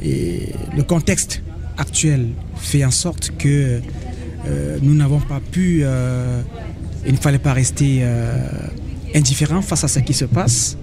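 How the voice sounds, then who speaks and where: A man from Cameroon, an association representative, speaking earnestly and haltingly in a radio interview at an association fair. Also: mid-range voice, vocal fry, a crowd in the background. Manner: hesitant, earnest, many pauses.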